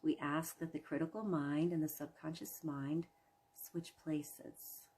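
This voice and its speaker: Slow, low-voiced woman guiding relaxation. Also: level tone, slightly rough. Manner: soothing, gentle, measured with long pauses. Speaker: guided meditation host. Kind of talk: guided imagery relaxation narration.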